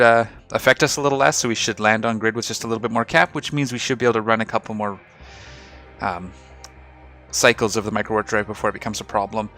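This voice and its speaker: A man hosting a casual game video, talking through his ship setup with a slightly rough, hoarse voice. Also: medium pitch. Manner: relaxed, rambling, explains ship fittings. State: slightly rough voice after a night of singing. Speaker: gaming channel host. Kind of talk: casual gameplay commentary.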